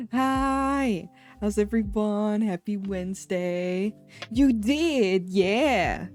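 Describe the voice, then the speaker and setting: Slow-talking, congested woman streamer. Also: mid-range voice, darkish tone, faint music behind. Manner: slow, chatty, giggly. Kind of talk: casual chat with viewers. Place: home.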